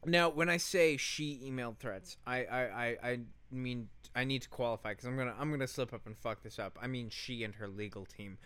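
A man with a bright, crisp voice tells a story as co-host of a comedy podcast. He is casual and sweary, leaning hard on key words. The sound is close and dry. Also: medium pitch, no echo. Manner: profane, emphatic, deliberate storytelling.

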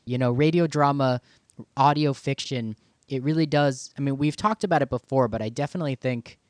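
A man's voice: medium pitch, fairly quick, no room sound. Male co-host in a dry studio sound. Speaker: radio show co-host. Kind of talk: conversational radio discussion.

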